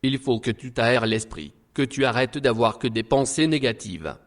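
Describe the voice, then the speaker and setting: A man's voice: medium pitch, lively intonation, moderate pace, close to an everyday voice. A man playing a worried husband in an audio drama, earnest and coaxing as he tries to stay hopeful. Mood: firm, concerned. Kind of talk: French audio drama acting.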